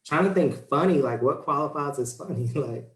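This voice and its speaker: A man's voice: on the high side, expressive, moderate pace. A man guest on a video call telling a story, laid-back and laughing. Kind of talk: casual video-call chat. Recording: echoey room.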